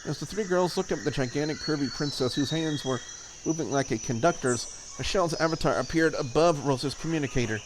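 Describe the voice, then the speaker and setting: A man's voice: fairly high pitch, fairly quick, background noise. A man reading scripted visual novel narration aloud in a dramatic storytelling style. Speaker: reader of a visual novel for a video channel.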